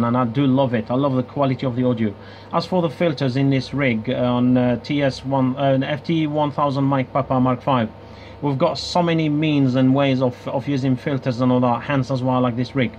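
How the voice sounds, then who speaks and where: A man's voice: medium pitch, a little mellow, a little hiss or hum. Dull-sounding man talking on ham radio. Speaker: amateur radio operator. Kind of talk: casual ham radio contact chat. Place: radio club station.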